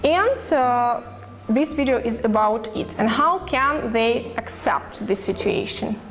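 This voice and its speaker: A woman teacher explains a video lesson in English, pausing often with fillers. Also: on the high side, expressive, faint music behind. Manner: hesitant, with fillers and grammar slips. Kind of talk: classroom explanation.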